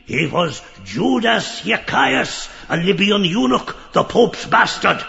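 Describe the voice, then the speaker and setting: An actor voicing a grotesque, manic, ranting devilish heretic in a surreal audiobook play, theatrical and erratic. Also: male voice, sits high, big pitch swings, slow, creaky, sharp tone, muffled recording, soft background music, full character voice. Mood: sly, lecturing. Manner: theatrical, erratic, howling and yelping.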